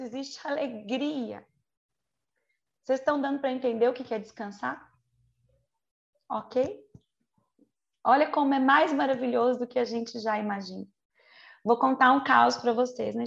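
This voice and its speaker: A Brazilian woman in her forties preaches in a high voice with a noticeable creak. She moves between chatty asides and slow, emphatic key words, often putting questions to her listeners. The recording sounds slightly dull and lo-fi. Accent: Brazilian Portuguese. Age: 40s. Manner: frequent rhetorical questions and check-ins with listeners. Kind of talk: conversational sermon to a congregation.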